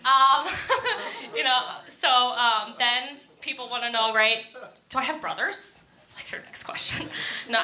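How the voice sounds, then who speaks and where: A woman with an American accent performs stand-up in an echoey club. Her voice sits fairly high and has a bright, forward edge. She tells stories casually at her own expense and often giggles through them. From Florida, United States. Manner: self-deprecating, casual storytelling. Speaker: stand-up comedian. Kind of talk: stand-up comedy set. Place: indoor comedy club stage. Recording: echoey room, live comedy club.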